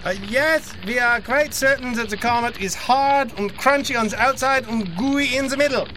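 High, bright-voiced pompous scientist. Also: male voice, moderate pace, slight background noise. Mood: pedantic, assured. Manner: wordy mock-scholarly lecturing. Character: pedantic, pompous scientist expert briefing a crisis meeting. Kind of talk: comedic audio drama acting.

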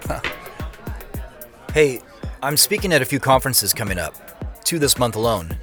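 An actor playing a busy, self-deprecating young tech CEO in a modern audio drama, talking in a casual, wry way. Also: male voice, medium pitch, very animated, moderate pace, fairly bright tone, faint music behind. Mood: upbeat, casual.

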